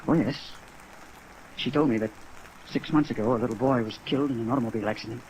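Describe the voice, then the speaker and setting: A man's voice: mid-range voice, fast, darkish tone, natural voice, low-quality recording. A man playing a defensive beach-bum actor in his mid-thirties in a 1950s radio drama, hesitant and earnest. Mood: earnest, uneasy. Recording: old radio recording, faint rainy hiss.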